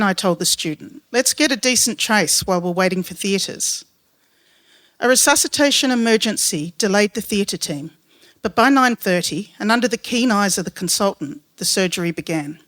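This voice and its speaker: A woman's voice: medium pitch, a bit bright, no echo. Australian woman reading a story, dry sound. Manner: measured, literary, descriptive delivery. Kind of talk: live reading of a written true story.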